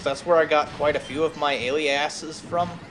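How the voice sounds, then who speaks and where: A man chatting casually as he streams a game, telling stories haltingly and drifting off on tangents, with some noise in the recording. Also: on the high side, steady tone, a bit bright, soft background music. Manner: halting, tangent-prone storytelling. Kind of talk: casual rambling chat over gameplay.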